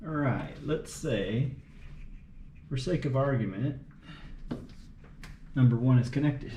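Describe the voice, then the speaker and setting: A man's voice: mid-range voice, takes it slowly. A man thinking aloud in short, unscripted fragments as he does hands-on mechanical work for a vlog. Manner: muttering to himself in short fragments while working. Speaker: amateur mechanic narrating his car repair. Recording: roomy, echoey, noisy workshop-like recording.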